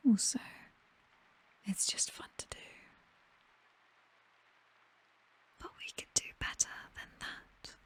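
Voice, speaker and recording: A woman's voice: on the high side, breathy edge, no echo, exaggerated voice. A British woman playing a possessive, teasing vampire mistress in an intimate ASMR roleplay, whispering breathily. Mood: teasing, commanding. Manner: slow, teasing, softly commanding.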